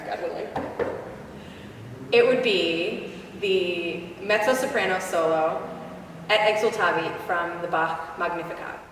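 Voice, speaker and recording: A woman's voice: lowish voice, fairly bright tone, a little hiss or hum. A woman in her thirties, an opera singer, talking thoughtfully and candidly about her work in a church sanctuary, filmed from a distance. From Boston. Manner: thoughtful, candid, self-deprecating. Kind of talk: reflective interview. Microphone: camera at a distance. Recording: echoey church heard from a distance.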